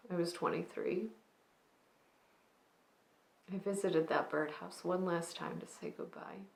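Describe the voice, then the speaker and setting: Actress doing sad wistful woman telling a memory, stage monologue, quiet n restrained, lowish voice, echoey room. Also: vocal fry. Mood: quiet grief. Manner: hushed, restrained, pausing between phrases. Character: wistful grieving woman recalling her grandmother's birdhouse. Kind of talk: dramatic monologue, slow storytelling. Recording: roomy, echoing space.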